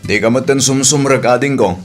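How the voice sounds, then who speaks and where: A man's voice: mid-range voice, brisk, loud music behind the voice, natural voice. A man playing a protective, indignant older brother in a radio comedy drama, plain-spoken and questioning. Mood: concerned.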